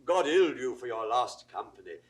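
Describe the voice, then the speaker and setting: An actor playing a witty, bawdy court jester with mock-pompous relish in a 1960s Shakespeare audio drama. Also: male voice, mid-range voice, very animated, moderate pace, husky. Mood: jaunty. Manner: theatrical, wordplaying, mock-pompous.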